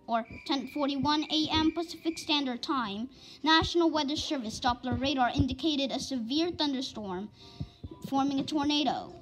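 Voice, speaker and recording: A woman's voice: sits high, slight background noise, poor audio. A woman announcer reading a scripted emergency weather warning in a formal bulletin manner.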